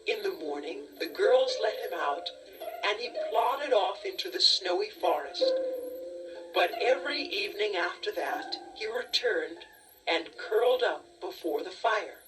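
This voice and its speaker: A woman narrating a children's story from a script in a deliberate, expressive storytelling manner. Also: medium pitch. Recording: distant cassette audio with music.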